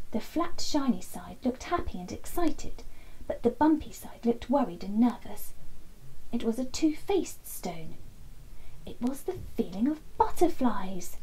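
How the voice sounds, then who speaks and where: A woman in her thirties reading a children's bedtime story expressively, with dramatic emphasis and hushed passages. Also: on the high side, husky, poor audio. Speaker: storybook reader. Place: small indoor nook lined with soft toys.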